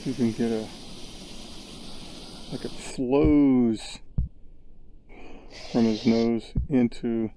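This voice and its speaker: A man narrating his VR sculpting session in a relaxed, rambling way, thinking aloud with lots of fillers, recorded on a headset's built-in mic. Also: mid-range voice, slow, pauses often, a little hiss or hum, low-quality recording. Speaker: VR sculpting creator. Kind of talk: casual tutorial narration.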